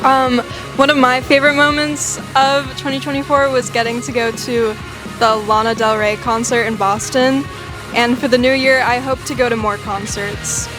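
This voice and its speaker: A teenage female student gives a casual interview answer. Her voice is fairly high and flat in tone, with music behind it. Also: moderate pace.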